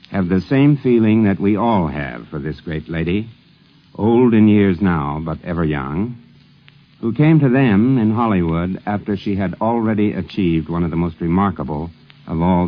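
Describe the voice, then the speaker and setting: A man, middle-aged, narrating a scripted 1950s radio tribute in a formal, measured, literary manner. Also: low-pitched. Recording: dull, muffled 1950s radio recording.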